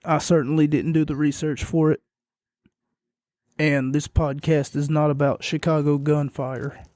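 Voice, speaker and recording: A man with a fading, hoarse voice hosts a podcast on his own, speaking slowly in a low, rambling monotone. His tone is dark and mellow, and the recording is dry and close. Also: no echo. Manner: rambling, self-deprecating, low and quiet. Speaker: podcast host. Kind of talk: casual solo podcast recap.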